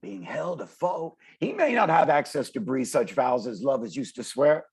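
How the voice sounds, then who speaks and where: A man playing a brash, streetwise poetic performer with a gravelly, husky voice, swaggering and declamatory in a table read. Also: mid-range voice, sing-song, moderate pace. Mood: solemn, declamatory. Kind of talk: dramatic table read, slang and verse. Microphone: video call. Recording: video call.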